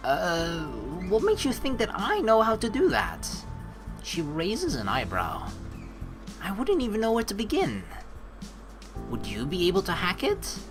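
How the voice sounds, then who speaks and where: A woman's voice: medium pitch, huge pitch swings, measured pace. A woman hosting a let's play, switching between reading game text aloud and casual, playful joking commentary. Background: game music.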